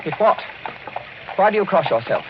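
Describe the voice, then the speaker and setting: A man's voice: high-pitched, lively intonation, moderate pace, background noise. An actor playing an eager, uneasy young English lord in a vintage radio drama, a man with a British accent. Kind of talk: 1940s radio acting. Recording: muffled old radio recording with music.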